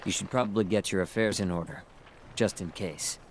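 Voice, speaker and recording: An actor playing a guarded, cool, quietly determined young street tough in a video game, speaking matter-of-factly. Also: male voice, sits low, fairly even intonation, brisk, slight background noise, close to an everyday voice. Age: young adult. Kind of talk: dramatic video game acting.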